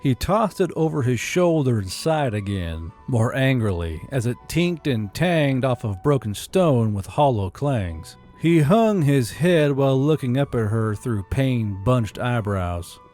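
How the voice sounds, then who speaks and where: A man's voice: medium pitch, sing-song, unhurried, hardly any pauses, darkish tone, soft background music. A man reading scripted fantasy fiction as an audiobook narrator.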